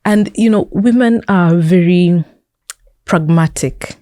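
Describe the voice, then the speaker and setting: A woman's voice: on the low side, takes it slowly, dark tone, no room sound, clean studio sound. A woman with a Kenyan accent speaking as an interview guest, explaining things conversationally with examples and emphatic repetition. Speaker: interview guest, tech entrepreneur and founder.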